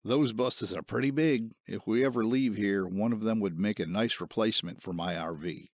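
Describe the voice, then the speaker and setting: A man playing an easygoing older survivor with a gravelly voice in a comic-book drama. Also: fairly low pitch, normal speed, no echo, close to an everyday voice. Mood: musing. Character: easygoing older male survivor who owns an RV.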